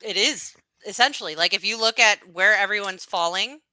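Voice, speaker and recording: A woman co-hosting a sports podcast in casual chat, frank and quick to agree or play devil's advocate. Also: mid-range voice, bright, forceful tone, no echo. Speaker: podcast co-host.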